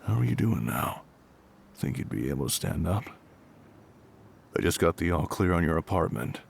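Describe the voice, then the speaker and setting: Man acting a very deep, gravelly firefighter captain. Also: very animated, a little hiss or hum, full character voice. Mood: gentle, concerned. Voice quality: gruff, gravelly. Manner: reassuring, slightly awkward, hesitant pauses. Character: gruff, kindly middle-aged male firefighter captain, protective. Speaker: voice actor in an audio roleplay. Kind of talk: intimate audio roleplay acting.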